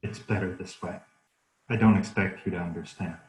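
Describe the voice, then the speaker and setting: An ashamed husband in a drama script, acted by a man. His voice is low and subdued, recorded in an echoing room. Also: steady tone, moderate pace, a little mellow, close to an everyday voice. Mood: apologetic. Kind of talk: screenplay table read. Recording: roomy, echoing microphone sound.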